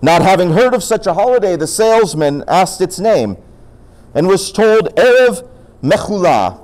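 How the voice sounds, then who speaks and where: Animated male storyteller, lively pitch swings. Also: normal speed. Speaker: speaker presenting folk tales. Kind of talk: storytelling talk with acted dialogue.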